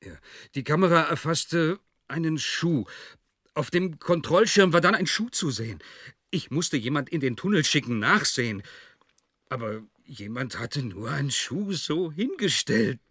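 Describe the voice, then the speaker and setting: Flustered man, swooping pitch. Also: lively intonation, a bit bright, slightly rough, no echo, clean studio sound, exaggerated voice. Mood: nervous, defensive. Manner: halting, nervous. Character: flustered, evasive male safety officer answering his boss. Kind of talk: radio play acting.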